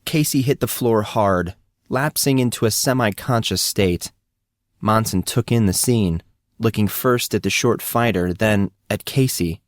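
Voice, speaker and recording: A man narrates fiction in a fairly low voice. The sound is dry, with little room around it, and the delivery is that of a scripted audiobook reading. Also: unhurried, no echo.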